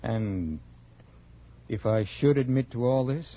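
Casting: conceited, dismissive writer for vintage radio thriller, mid-pitched, wide inflection, muffled old broadcast sound. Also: male voice, animated, slow pace. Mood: guarded, defensive. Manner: brusque, cool, dismissive. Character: callous, conceited male writer, brusque and evasive. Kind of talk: 1940s radio drama acting and first-person narration. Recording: dull 1940s radio recording.

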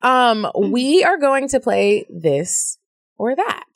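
Woman cohost, bouncy and playful, voice all over the place pitch-wise, dry close sound. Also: takes it slowly, no echo. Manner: animated, playful, sings and laughs. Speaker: podcast co-host. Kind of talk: casual podcast chat.

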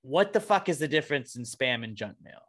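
Casual podcast host, male, bright tone, swoops his pitch, jokes and laughs a lot. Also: a little fast. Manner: joking, animated, laughs often. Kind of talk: casual chat.

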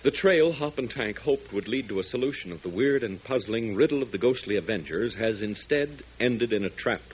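A man's voice: on the low side, lively intonation, normal speed, slight background noise. A male announcer narrating a 1940s radio adventure serial, speaking dramatically to young listeners. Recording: dull 1940s radio transcription.

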